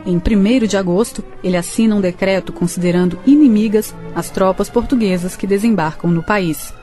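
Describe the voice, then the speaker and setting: A woman's voice: medium pitch, brisk. A woman with a Brazilian accent reading scripted historical narration for a radio drama. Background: music.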